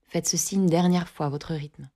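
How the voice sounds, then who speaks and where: A woman guiding a yoga nidra relaxation, giving calm, measured instructions in short phrases with long pauses between them. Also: low voice. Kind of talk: guided relaxation meditation, scripted instructions.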